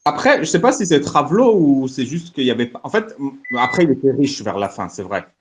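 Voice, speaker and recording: A man's voice: mid-range voice, big pitch swings, a little fast, slight background noise. A man hosting a casual live audio discussion, joking, thinking aloud and laughing often. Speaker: host.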